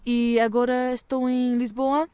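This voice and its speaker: A woman's voice: a little high, level tone, measured pace, mellow, clear, resonant tone, dry recording. A woman who learned Portuguese abroad, speaking in a casual, laughing chat outdoors by a windmill. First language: not Portuguese. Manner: laughs often, chatty, self-deprecating. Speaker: guest.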